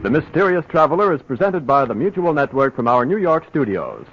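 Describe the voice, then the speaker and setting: A male radio announcer giving a formal, crisp sign-off. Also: on the high side, sing-song, fairly quick, loud music behind the voice. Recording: noisy, muffled old radio broadcast.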